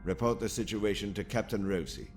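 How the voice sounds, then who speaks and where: Man acting a deep, formal sci-fi officer. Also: animated, darkish tone. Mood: measured, formal. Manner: measured, formal, precise. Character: calm, formal, authoritative male military lieutenant. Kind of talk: sci-fi audio comic dub. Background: prominent music.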